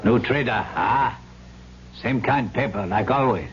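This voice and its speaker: An actor playing a gruff, knowing island bartender in a 1970s radio drama, talking in clipped. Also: male voice, mid-range voice, unhurried, crowd noise, lightly acted. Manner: clipped pidgin phrases. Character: gruff, knowing island bartender who also plays minister. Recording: dull vintage radio recording.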